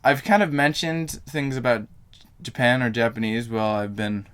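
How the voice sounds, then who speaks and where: A man's voice: mid-range voice, moderate pace, fairly bright tone, smooth. A young man vlogging to camera in a casual, rambling way, full of fillers and self-corrections.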